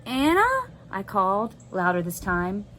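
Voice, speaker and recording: Expressive woman narrator, noisy recording. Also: medium pitch, normal speed. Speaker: teacher reading aloud. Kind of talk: expressive children's novel narration.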